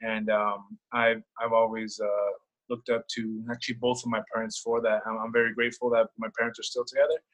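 A man's voice: lowish voice, level tone, a little fast, a bit bright, low-quality recording. A young man from El Salvador talking casually and personally in conversation, searching for words and repeating himself as he goes. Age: young adult.

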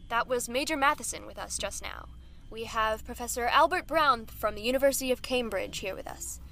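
A woman's voice: fairly high pitch, fairly quick, pushed and bright. A woman playing a composed news anchor with a polite, professional broadcast delivery. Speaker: broadcast reporter.

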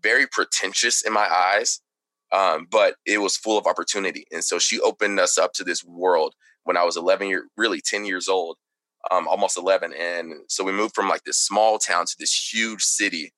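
A man's voice: low-pitched, fairly even intonation, normal speed, sharp tone, no room sound. A young man from Texas, a podcast guest chatting casually and filling his talk with "like" and "you know.". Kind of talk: casual conversation.

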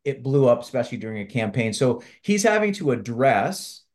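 A man facilitating a workshop in an informal, hesitant, halting explanatory way. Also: medium pitch, big pitch swings. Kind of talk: informal webinar presenting.

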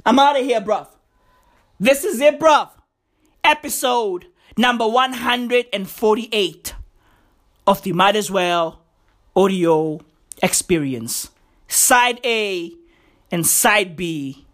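A young woman who hosts a podcast rants in profane, slang-heavy bursts. Her voice is going hoarse. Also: medium pitch, lively intonation, slow pace, long pauses. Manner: profane, slangy, emphatic ranting. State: losing her voice. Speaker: podcast host. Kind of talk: comedic podcast rant.

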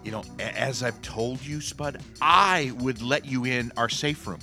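A man's voice: mid-range voice, measured pace, over loud music, close to an everyday voice. An actor playing an earnest, mildly preachy radio co-host in comic banter, prim and hedging in his talk.

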